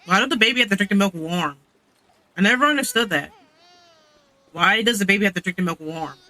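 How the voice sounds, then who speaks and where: A man's voice: high-pitched, animated, fairly quick, sharp tone. A man giving casual commentary as he plays a video game. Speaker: game streamer.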